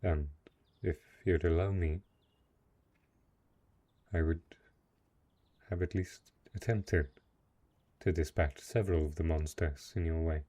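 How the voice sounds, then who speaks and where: A man playing a brooding, possessive and lonely dark knight in a fantasy roleplay audio drama, speaking in a measured, deliberate way. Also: rumbling low, steady tone, exaggerated voice. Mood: soft, coaxing. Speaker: voice actor.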